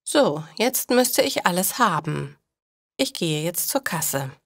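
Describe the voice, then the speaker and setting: A woman's voice: fairly low pitch, big pitch swings, slow pace, no room sound, clean studio sound, exaggerated voice. A woman voicing a forgetful female shopper who muses to herself in a storybook for language learners. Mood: musing. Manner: self-talking, musing.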